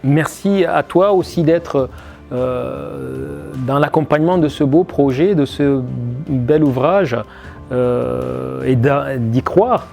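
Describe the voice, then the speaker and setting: A man's voice: medium pitch, slow pace, vocal fry, mellow, faint music behind. A man as an interview guest, answering reflectively with many hesitations and restarts. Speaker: guest, army officer.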